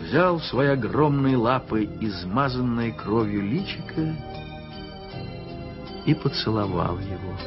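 A man narrating a studio radio play in a measured, expressive storytelling way. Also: medium pitch. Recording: dull old radio play recording with music.

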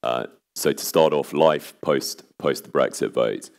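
A man in his thirties with an exceptionally low voice, still recovering from laryngitis, presents at an investor seminar. He talks in a measured way, with many ums and restarts. He is picked up by a lapel mic in a large conference hall. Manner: measured, with frequent fillers and restarts.

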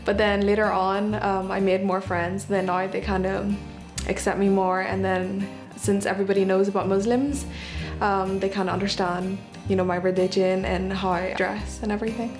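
A teenage girl talks in an easy, chatty way, dropping in a casual "you know" here and there. Her voice sits at a medium pitch. Clear guitar music plays under her words. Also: moderate pace. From Malaysia, raised in Northern Ireland. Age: teens. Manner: chatty, relaxed, uses fillers like "you know". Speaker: interviewee, daughter. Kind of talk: documentary interview. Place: home living room.

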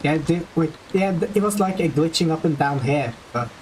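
A man's voice: on the high side, animated, brisk, darkish tone, slight background noise, poor audio. A man with a Norwegian accent chatting casually while streaming a game, laughing often and rambling through explanations. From Norway. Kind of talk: casual gaming chat. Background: game music.

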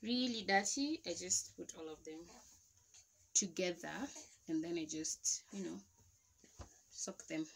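A woman's voice: lowish voice, takes it slowly, vocal fry, long pauses, a little hiss or hum. A young woman, casually showing at home how she does a chore step by step. She talks loosely, with restarts. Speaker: vlogger. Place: indoors at home beside a washing machine.

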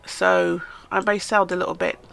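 A woman with a bright, tense voice that has some gravel in it, chatting through a life-sim let's-play. She is stuffed up from a cold, so she sounds nasal, and she talks straight to the characters on screen. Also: on the low side, level tone, moderate pace. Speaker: gaming channel host. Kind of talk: casual let's-play commentary.

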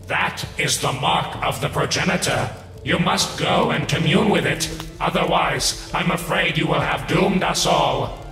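A man's voice: on the high side, unhurried, creaky. A man playing a grave fish king, echoing. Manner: commanding and ominous. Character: regal older fish king, grave father figure. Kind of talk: fan-made animated short film. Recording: echoey hall with long reverb under loud music.

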